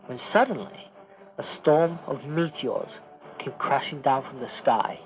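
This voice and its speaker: A man's voice: mid-range voice, unhurried, rough recording. A man reading a scripted story as a dramatic narrator. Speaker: story reader. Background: music.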